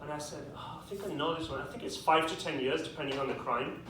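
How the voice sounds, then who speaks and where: A young man with a British accent works a stand-up set, dropping dry, self-deprecating punchlines. His voice sits a little high. It is caught from a distance in a very echoey club, with the crowd's noise clear behind him. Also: fast. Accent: British English. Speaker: stand-up comedian. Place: comedy club with a live audience. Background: audience laughter.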